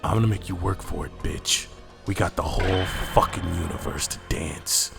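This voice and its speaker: A man acting as a weary anime fighter with a deep, gravelly voice. He talks in a casual, sardonic way, as someone keeping secrets. Faint music plays underneath. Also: moderate pace. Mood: defiant. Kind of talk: anime fan-fiction roleplay voice acting.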